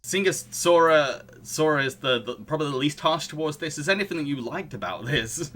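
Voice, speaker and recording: A man's voice: a little high, animated, a little fast, a bit bright, slight background noise. A man hosting a casual podcast discussion, often chuckling as he talks. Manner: chuckles often while talking. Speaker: podcast host.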